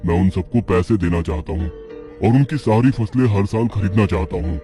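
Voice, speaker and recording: A man's voice: deep voice, moderate pace, over music, lightly acted. A man playing a self-assured, calculating character in a moral-story cartoon. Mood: persuasive.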